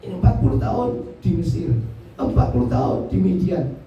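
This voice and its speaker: A man's voice: sits high, sing-song, brisk, mellow, highs cut off. A man in his seventies with a Javanese Indonesian accent preaching an interactive sermon, insistent and repetitive, putting questions to his listeners. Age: 70s. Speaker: pastor preaching. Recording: very echoey hall, far from mic.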